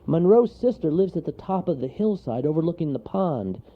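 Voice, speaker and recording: Man doing a timid, polite little animal, high-pitched. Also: lively intonation, normal speed, creaky, highs cut off, full character voice. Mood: eager. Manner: courteous, nervous, earnest. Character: timid polite male woodland animal, small and anxious.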